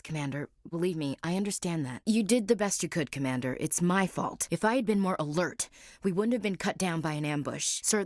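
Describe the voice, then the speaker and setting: A woman's voice: low-pitched, no echo. An actress playing a young female marine soldier in a game, blunt, dry and teasing. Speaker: soldier character. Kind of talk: game dialogue lines. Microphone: studio mic.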